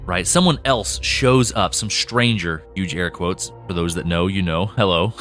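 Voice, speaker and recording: A man's voice: sits low, measured pace, fairly bright tone, soft background music. A man co-hosting a podcast, chatting casually about a story's characters, laughing often and restarting sentences. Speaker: podcast co-host. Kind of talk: casual behind-the-scenes discussion.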